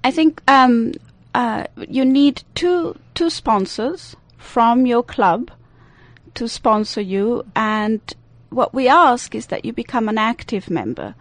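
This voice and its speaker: Woman, Swiss Italian accent, slightly high voice, halting with fillers, dry studio sound. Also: animated, no echo. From Italian-speaking Switzerland. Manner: hesitant, searching for words with many fillers. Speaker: radio guest. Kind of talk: radio interview. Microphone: studio microphone.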